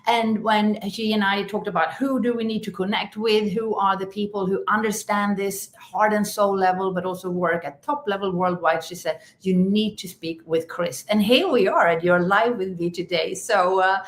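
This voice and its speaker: A woman's voice: mid-range voice, moderate pace. Effusive woman hosting a live interview. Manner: enthusiastic, effusive. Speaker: podcast host. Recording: echoey room.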